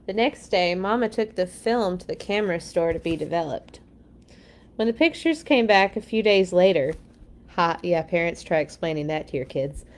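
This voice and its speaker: A woman's voice: lowish voice, moderate pace. A woman hosting a children's read-along, reading stories expressively and dropping in casual asides.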